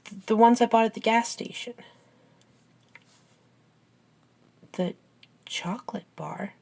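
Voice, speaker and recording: A woman playing a caring, curious, science-minded young best friend in an ASMR roleplay, with an earnest, halting, gently teasing manner. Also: fairly high pitch, creaky. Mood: puzzled. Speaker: amateur audio roleplay voice actor.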